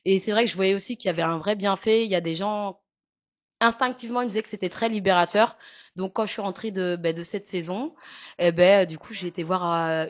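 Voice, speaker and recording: A young woman with a Parisian French accent, a massage therapist chatting frankly in an interview, with many fillers and jokes. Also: on the low side. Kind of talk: casual interview chat.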